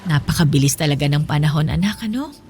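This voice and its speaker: A woman's voice: low-pitched, animated, fast talker, darkish tone. A woman playing a long-suffering, principled mother in a radio drama, speaking in an earnest, emotional way. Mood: gentle, reflective. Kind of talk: Filipino radio drama acting. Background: music.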